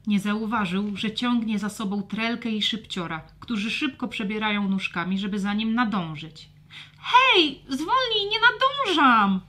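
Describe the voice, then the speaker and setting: A woman narrating a scripted children's story in a dramatic, expressive manner. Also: medium pitch, moderate pace, few pauses.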